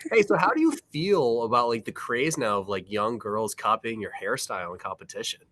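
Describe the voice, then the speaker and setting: A man co-hosting an interview over a video call, excitable and joking, talking in quick bursts. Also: mid-range voice, dry recording. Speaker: podcast co-host.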